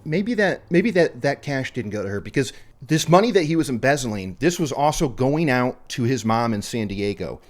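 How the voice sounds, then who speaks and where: Male podcast host, casual true crime chat, very lively intonation, slangy and emphatic. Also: mid-range voice, normal speed.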